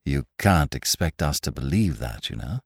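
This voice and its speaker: An earnest male detective from a novel , as a man reading aloud acts him. He is protective and distressed, pleading with formal courtesy and emphatic repetition. The delivery is very varied in pitch, in a dry space. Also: wildly swooping pitch, normal speed, dry recording. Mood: gently reproving.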